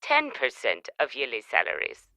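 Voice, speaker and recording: A woman playing a smug, formal German corporate shareholder in a comedy audio drama, brisk and clipped. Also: extremely low pitch, wildly swooping pitch, pushed and bright, no echo, full character voice. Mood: formal, pleased.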